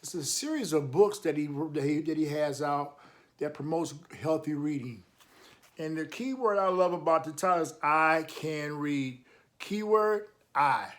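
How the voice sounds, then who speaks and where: A man with a slightly high voice reads a children's picture book aloud at an unhurried pace. He is encouraging and emphatic, stepping out of the text to add remarks and questions for young listeners. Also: expressive, a bit bright. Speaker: storytime reader, coach.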